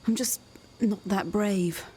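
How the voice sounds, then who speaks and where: An actress playing a hesitant woman in a secret romance in a radio soap, gentle and reluctant. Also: mid-range voice, slow, slightly rough. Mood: regretful.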